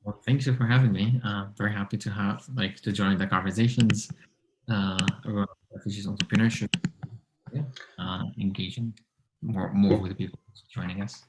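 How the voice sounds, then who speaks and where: Syrian-accented man, halting answers. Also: on the low side, steady tone, noisy background. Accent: Syrian Arabic. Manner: halting, many fillers, non-native phrasing. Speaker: guest entrepreneur. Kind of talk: interview answers.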